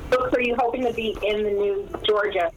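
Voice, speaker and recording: A woman co-host asking the guest questions in a polite, quick way. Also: mid-range voice, steady tone, a little hiss or hum, low-quality recording. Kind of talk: casual interview chat.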